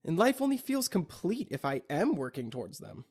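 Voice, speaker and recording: A young man with a slightly high voice narrates a personal audio log. He speaks earnestly and deliberately, as if reading a prepared, introspective reflection. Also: wildly swooping pitch, darkish tone, husky. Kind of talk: scripted reflective personal monologue.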